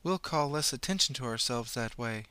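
A man voicing an eerie possessing entity that speaks through other people, slow, halting and detached. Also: medium pitch, lively intonation, sharp tone, clean tone, no room sound. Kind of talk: audiobook character voice.

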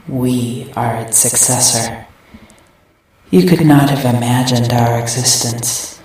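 A performer voicing a cold, cruel female entity of nothingness, speaking in slow, detached pronouncements. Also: mid-range voice, slight background noise, lightly acted. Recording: heavy echo, distant from mic.

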